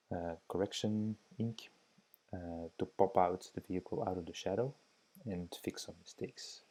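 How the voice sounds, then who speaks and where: A man's voice: low voice, dark tone. A young Belgian man who speaks Dutch as his first language, giving hesitant, thoughtful voice-over commentary on his drawing, with many fillers. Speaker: drawing teacher.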